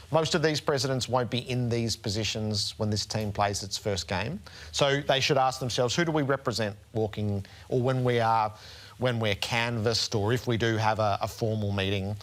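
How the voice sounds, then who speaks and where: An Australian man hosting a sports panel show, speaking in an emphatic, eloquent, rhetorical way. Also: medium pitch.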